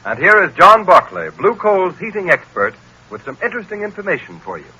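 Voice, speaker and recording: A man's voice: high voice, very animated, fairly quick, sharp tone, breathy edge, faint music behind, rough recording. A man announcing from a script in a formal, emphatic 1930s radio style.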